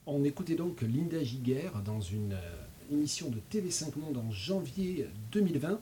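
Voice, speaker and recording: A man's voice: a little high, big pitch swings, moderate pace, mellow, slight background noise. A man hosting a radio discussion, hesitant and often stumbling over his words. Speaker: radio host. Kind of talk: casual hosted discussion.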